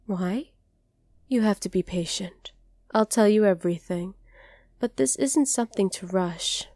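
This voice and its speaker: A woman voicing a haughty, possessive dragoness captor in an ASMR roleplay, speaking to the listener in a condescending, deliberate way. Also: mid-range voice, mellow, no room sound, clean studio sound, natural voice. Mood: smug, stern.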